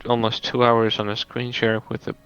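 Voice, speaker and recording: A man's voice: medium pitch, monotone, normal speed, a bit bright, clear, resonant tone, dull audio. A man who is not a native English speaker, venting in casual chat as a stream co-host. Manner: frustrated venting, restarts often.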